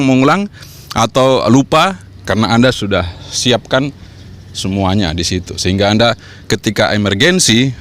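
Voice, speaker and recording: A man's voice: medium pitch, a bit bright. A man giving an informal instructional vlog talk, encouraging and repeating his points as he explains. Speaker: amateur radio vlogger and trainer.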